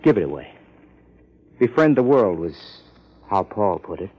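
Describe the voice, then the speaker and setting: Earnest, low-voiced young heir. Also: male voice, very animated, husky, heavily acted. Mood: solemn, earnest. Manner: formal, earnest, measured. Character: earnest, subdued twenty-one-year-old male millionaire heir. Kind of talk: 1950s radio drama acting. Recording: muffled 1950s radio recording.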